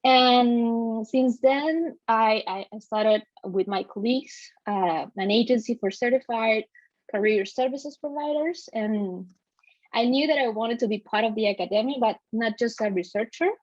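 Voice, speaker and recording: A woman's voice: on the high side, measured pace, dry recording. A woman from Chile with a Chilean Spanish accent, a native Spanish speaker, introducing herself hesitantly as a guest on a video call. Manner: hesitant, many fillers. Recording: dry lo-fi video call audio.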